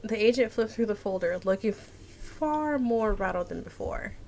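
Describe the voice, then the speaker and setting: A woman's voice: medium pitch, animated, moderate pace, darkish tone, slight background noise. A young woman chatting casually and reading a visual novel aloud as a streamer. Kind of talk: casual let's-play chat and dramatic read-aloud narration.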